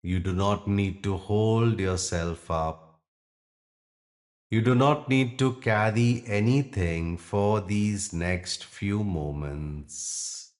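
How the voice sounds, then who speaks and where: A man with a deep voice leads a guided meditation as a yoga nidra teacher. He speaks very slowly, giving gentle instructions in short phrases. Long pauses separate his words.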